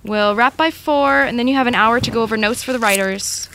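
Efficient TV-set assistant, bright crisp-edged tone, higher female pitch, wry, teasing, brisk, podcast drama. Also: a little hiss or hum, rough recording. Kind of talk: naturalistic fiction podcast acting.

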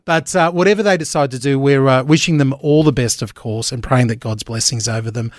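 A man's voice: medium pitch, a little fast. A man hosting a breakfast radio show, chatting casually with lots of fillers. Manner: chatty, upbeat, many fillers. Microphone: studio microphone.

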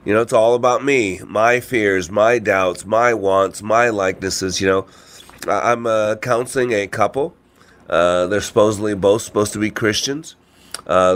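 A man with a congested voice hosting a radio show, talking informally and motivationally with frequent rhetorical questions. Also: fairly low pitch. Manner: asks rhetorical questions, frequent "you know" and "right?". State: congested with a cold or allergies. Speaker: radio show host and trainer.

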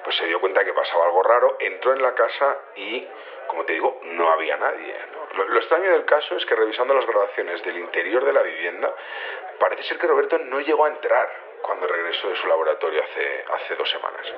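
Male security chief, low voice, thin phone audio, hesitant, colloquial, unbothered, radio drama. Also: very animated, brisk. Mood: casual, matter-of-fact. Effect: telephone filter. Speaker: head of security. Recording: thin, narrow phone line.

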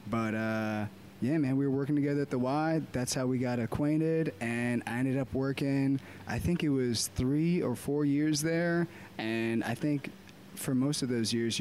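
A man in his twenties from Baltimore, a DJ talking casually and reflectively about his life as an interview guest, with frequent "uh" pauses. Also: medium pitch, slight background noise. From Baltimore, USA. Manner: relaxed, reflective, many "uh" pauses. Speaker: podcast guest, DJ.

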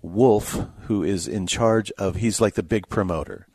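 A man with a lowish voice talks as a radio movie co-host. His delivery is casual, folksy and halting, recorded close in a dry studio. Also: darkish tone, breathy edge, no echo. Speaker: film review co-host.

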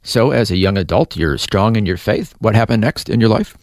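Male host, gentle interviewer; gravelly tone, dry studio mic. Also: on the low side, animated, fairly quick, no room sound. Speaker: radio show host. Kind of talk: hosted interview.

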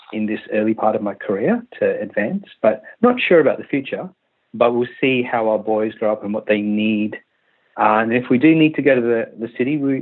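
A man in his thirties with an Australian accent, a country doctor answering interview questions in a hesitant, halting way with many fillers. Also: on the low side. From Malaysia, raised in Western Australia. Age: 30s. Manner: hesitant, many restarts and fillers, laughs easily. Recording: tinny phone line.

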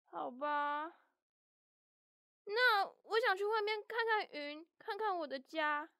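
A woman's voice: extremely high pitch, lively intonation, slow pace, sharp tone, no room sound, clean studio sound, full character voice. A woman voicing a small, naive young mouse with a childlike, innocent and emotional way of talking in a children's audio story. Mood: calmer, curious.

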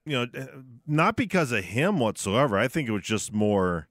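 A man's voice: mid-range voice, sing-song, normal speed, a bit bright, no room sound. A man co-hosting a casual sports radio show from the studio, talking conversationally with hedges and asides.

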